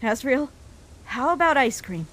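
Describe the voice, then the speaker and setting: A woman's voice: sits high, big pitch swings, measured pace, fairly bright tone, full character voice. A woman voicing a bossy, smug human child in a comic dub, sardonic and teasing. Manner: sardonic, sassy.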